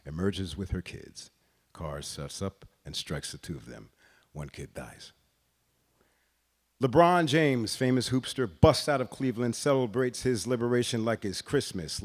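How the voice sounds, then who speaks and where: A man reads a literary story aloud in a deliberate, expressive way, pausing between phrases. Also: on the low side. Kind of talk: dramatic literary reading.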